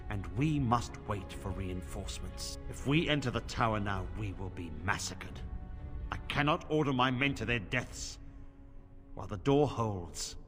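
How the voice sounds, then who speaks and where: An actor playing a stern, weary middle-aged templar commander in a fantasy game, speaking gravely and formally. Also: male voice, mid-range voice, lightly acted. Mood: grim. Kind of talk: fantasy video game dialogue. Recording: reverberant stone hall, music underneath.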